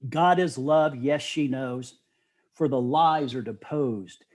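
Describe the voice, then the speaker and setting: A middle-aged man telling stories casually as an interview guest, with an animated, enthusiastic manner. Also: medium pitch, slow.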